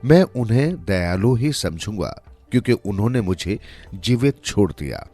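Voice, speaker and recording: A man's voice: lowish voice, sing-song, normal speed, mellow. A man voicing a devout, forgiving disciple in a moral tale, earnest and respectful. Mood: earnest, accepting. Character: devout, unshakably forgiving young male disciple. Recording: music under the voice.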